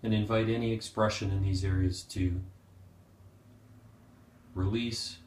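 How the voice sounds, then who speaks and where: A man leading a guided meditation as a teacher, speaking gently and unhurriedly with pauses. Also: lowish voice, fairly even intonation, a little hiss or hum. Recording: roomy, echoing sound.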